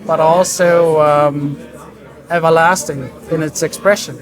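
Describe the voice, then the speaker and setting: A man explaining his work as a design director in an interview, in a halting, thoughtful way. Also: a little high, steady tone. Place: busy hi-fi trade show hall. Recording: crowd chatter around the voice. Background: crowd chatter.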